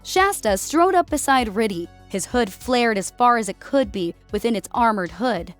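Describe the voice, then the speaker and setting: A woman's voice: a little high, expressive, moderate pace, soft background music. A woman giving a dramatic scripted narration of an action story as an audiobook narrator.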